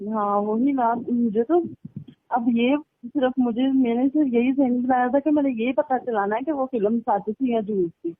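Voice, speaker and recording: A woman's voice: a little high, normal speed, dry recording. Woman on a tinny phone line. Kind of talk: casual phone chat, recounting personal stories. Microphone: telephone line. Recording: tinny, band-limited phone call recording.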